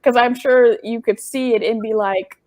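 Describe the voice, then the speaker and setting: A woman's voice: fairly high pitch, fairly even intonation, normal speed, darkish tone, dry recording. A woman in her thirties from Detroit with a light voice, chatting in a loose way with many fillers as an interview host. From Detroit, USA. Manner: chatty, lots of fillers and laughs. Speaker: host, animator. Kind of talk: casual interview talk.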